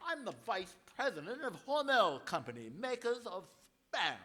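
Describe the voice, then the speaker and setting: An actor playing a pompous, bullying company executive in an old-time radio comedy. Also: male voice, sits high, sing-song, normal speed, sharp tone, exaggerated voice. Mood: indignant. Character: pompous, bullying corporate vice president in a queue.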